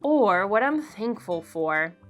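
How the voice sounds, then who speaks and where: Woman playing a fretful, slow-talking young schoolboy. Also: medium pitch, big pitch swings, faint music behind. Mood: glum sighing. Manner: fretful, sighing. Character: worried, unsure young schoolboy in a storybook.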